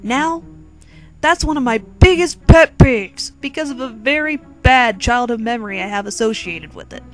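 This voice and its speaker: A woman's voice: fairly high pitch, lively intonation, moderate pace, soft background music, lightly acted. A woman voicing a suave, courteous young Latin man, a touchy romantic charmer, in a dramatic fan fiction reading. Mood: earnest, glum.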